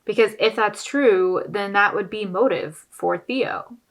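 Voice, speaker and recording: A woman's voice: mid-range voice, a little slow, rough recording. A woman hosting a reaction video, giving casual, opinionated commentary on a TV show in a conversational way.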